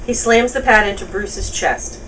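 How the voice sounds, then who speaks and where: A woman's voice: medium pitch, normal speed, fairly bright tone. Woman narrating a script, distant mic. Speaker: narrator reading stage directions. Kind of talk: scripted narration at a table read. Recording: distant mic, noisy room.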